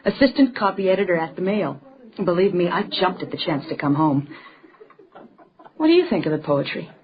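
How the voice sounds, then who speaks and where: A woman's voice: lowish voice, big pitch swings, fairly quick, lo-fi sound, a little hiss or hum. A woman playing a confident young newspaper editor in a period radio drama, forthright and wry, with teasing banter. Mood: brisk, teasing.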